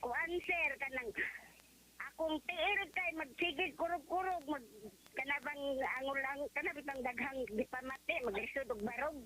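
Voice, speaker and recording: A woman caller with a Visayan accent gives her testimony in a high, bright voice. She is halting and deferential, with faint noise on the line. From Leyte, Philippines. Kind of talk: conversational personal testimony. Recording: slightly noisy phone call on radio.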